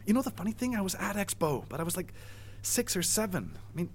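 An actor playing a middle-aged man in charge of a national celebration, stammering and hesitant, then gushing with excitement. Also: high-pitched, quick pace, slight background noise. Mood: eager. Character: flustered male festival organizer, eager, easily excited. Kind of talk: audio drama acting.

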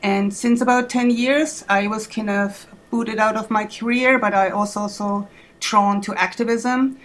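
A woman from Germany in her fifties with a German accent, speaking as an activist guest in a casual, halting way with many fillers and restarts. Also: medium pitch, steady tone. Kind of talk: casual spoken perspective.

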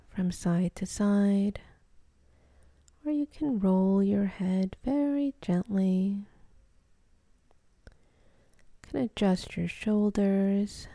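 Slow, very mellow woman guiding meditation. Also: medium pitch, no room sound, studio quality. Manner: soothing, gentle, unhurried, with long pauses. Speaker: meditation guide. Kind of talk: guided meditation, soft spoken instruction.

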